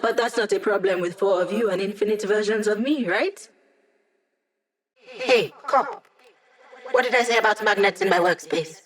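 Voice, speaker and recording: A woman's voice: medium pitch, lively intonation, normal speed, long pauses, lightly acted. A woman playing a wry, playful young hacker in a video game, with a casual, quippy way of talking. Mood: breezy, teasing. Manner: breezy, casual, teasing, quippy. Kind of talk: scripted game character voice lines.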